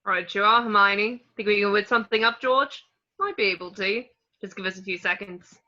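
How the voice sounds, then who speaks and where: A woman plays a mischievous teenage boy in an amateur fantasy drama read. The delivery is bright, cheeky and forceful, on a slightly lo-fi microphone. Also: mid-range voice, brisk, close to an everyday voice. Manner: cheeky, breezy. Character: cocky, mischievous teenage twin brothers. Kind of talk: amateur online screenplay table read. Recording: slightly dull lo-fi home microphone.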